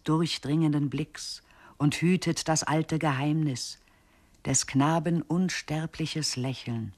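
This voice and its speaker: Radio poetry voice: male, reverent and hymnic, long drawn-out phrases at slow pace. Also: fairly high pitch. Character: solemn reciter of hymnic river poetry.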